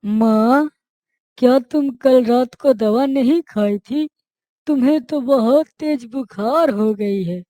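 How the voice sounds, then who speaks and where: A narrator voicing a dutiful, caring village boy in a cartoon story, speaking earnestly and gently. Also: medium pitch, slow pace, dry recording, studio quality, voice pushed up, lightly acted. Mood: concerned.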